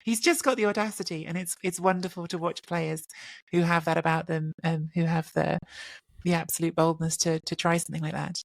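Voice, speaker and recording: A woman on a football podcast panel, animated and stumbling over restarts. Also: on the low side, a little fast, vocal fry, no echo. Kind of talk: casual football chat.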